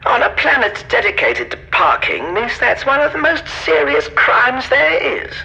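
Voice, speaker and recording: A woman's voice: fairly high pitch, huge pitch swings, moderate pace, gravelly, bright, forceful tone, highs cut off, exaggerated voice. A woman playing a stern, suspicious security officer in an audio drama, formal and condescending. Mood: officious, suspicious.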